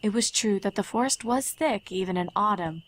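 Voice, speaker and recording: A woman reading scripted fan fiction as the narrator, in a measured storytelling manner. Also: mid-range voice, a little hiss or hum. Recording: faint music under narration.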